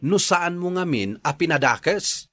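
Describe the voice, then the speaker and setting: A man's voice: on the high side, lively intonation, measured pace, fairly bright tone. An actor playing a blunt, exasperated man who questions a gossip in a radio drama.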